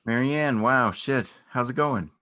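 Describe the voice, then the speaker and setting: A man's voice: mid-range voice, unhurried, bright, forceful tone. A man acting an awkward, earnest, unassuming beekeeper in a naturalistic stage reading, speaking in a hesitant, understated way. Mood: awkward, friendly. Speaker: amateur or local theatre actor.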